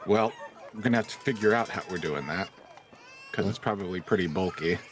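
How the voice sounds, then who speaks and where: A man's voice: low-pitched, fairly quick. A man chatting casually as a player in a tabletop role-playing game.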